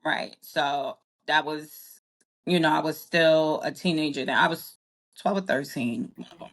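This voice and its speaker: A woman in her early forties, originally from Jamaica, talking as a podcast guest. Her voice sits a little low, and she tells her story in loose, circling sentences, stopping often to correct herself and laughing along the way. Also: a little slow, fairly bright tone. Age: early 40s. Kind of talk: casual interview chat.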